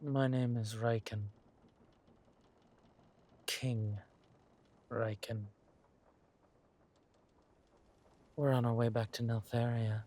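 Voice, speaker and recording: A man voicing a suave, menacing villain king who is doting with his lover and talks in a smooth, teasing, courteous drawl for a roleplay audio drama. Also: mid-range voice, level tone, takes it slowly, long pauses, mellow, lightly acted. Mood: silky menace.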